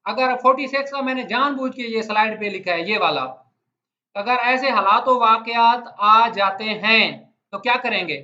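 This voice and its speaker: A Pakistani man teaches like a law lecturer, talking directly to his listeners and putting questions to them. His voice is strikingly high-pitched and forceful. The sound is dull and lo-fi, as if captured in a roomy space. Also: moderate pace. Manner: conversational, puts questions to listeners. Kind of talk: explanatory lecture with everyday examples. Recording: echoey room, dull lo-fi sound.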